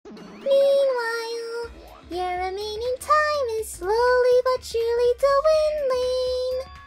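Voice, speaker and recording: Tiny taunting mascot, extremely high, slow sing-song over loud music. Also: female voice. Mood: gloating. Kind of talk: exaggerated anime fan dub acting.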